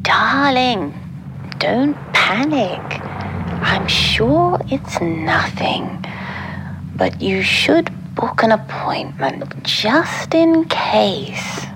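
An actress playing a concerned middle-aged mother in an audio drama, gently and breathily reassuring her grown daughter. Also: on the high side, big pitch swings, extremely slow, in a whisper, heavily acted, low-quality recording. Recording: clear background noise, ambience.

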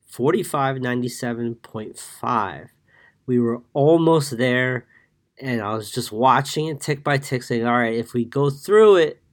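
A man hosting a market podcast, congested from a cold, giving a casual daily recap with many fillers while reading numbers from his notes. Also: mid-range voice. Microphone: podcast mic.

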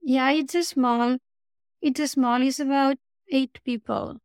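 A woman's voice: high voice, steady tone, a little slow, clean tone, dry recording, studio quality. A woman from Spain, a children's author being interviewed, talking hesitantly with frequent restarts. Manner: hesitant, restarts often, light laughs. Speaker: children's book author, guest. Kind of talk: podcast interview.

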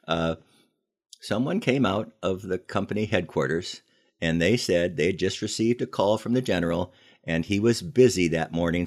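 An older American man telling personal stories in a conversational, folksy way, leaning deliberately on key phrases, as a podcast host. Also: deep voice, vocal fry, darkish tone. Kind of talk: conversational storytelling with moral lessons.

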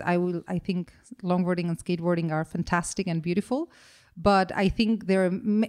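A woman who is a podcast guest, speaking earnestly and often restarting, with the phrase "to me" coming back again and again. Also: medium pitch. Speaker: interview guest. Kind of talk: earnest conversational interview.

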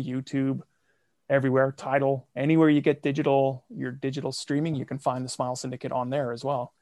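A man's voice: mid-range voice, creaky, darkish tone, dry recording, clean studio sound. A man who is a musician and podcast guest, explaining steadily in casual chat from his home podcasting area.

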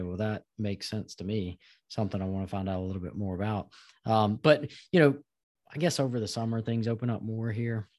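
Male podcast host, lowish voice, halting and conversational, recorded dry with no room sound. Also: darkish tone, dry recording. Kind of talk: casual chat.

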